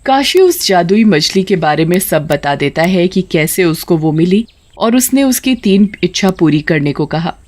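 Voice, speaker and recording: A woman's voice: lowish voice, expressive, normal speed, a little mellow. A woman narrator reading a scripted moral tale for children. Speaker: story narrator.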